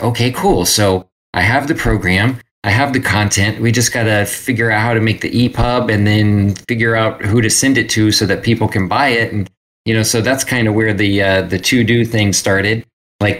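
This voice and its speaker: A man's voice: on the low side, a bit bright. A man telling his story casually as a guest in an interview. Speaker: podcast guest, graphic designer.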